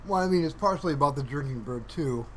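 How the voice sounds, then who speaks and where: A man's voice: fairly high pitch, animated, fast, slight background noise. A man casually rambling to livestream viewers in a playful, mock-earnest, musing way. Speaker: livestreamer hosting his own stream.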